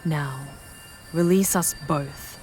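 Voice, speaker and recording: A woman playing a charming, proud yet tender female demon guide in an ASMR fantasy roleplay, measured and intimate, often dropping to breathy whispers. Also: deep voice, a little mellow, faint music behind. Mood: defiant, teasing.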